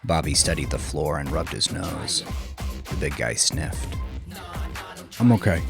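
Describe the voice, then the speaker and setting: Deep male crime-story narrator. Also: steady tone, measured pace, gravelly. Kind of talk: scripted crime fiction narration. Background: music under the voice.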